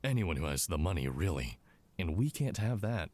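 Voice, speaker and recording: An actor playing a smug, condescending intelligence commander in a scripted drama, talking down to people in a mocking way. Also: male voice, low-pitched, big pitch swings, normal speed, darkish tone. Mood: smug, calculating. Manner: condescending, mocking, drawling asides.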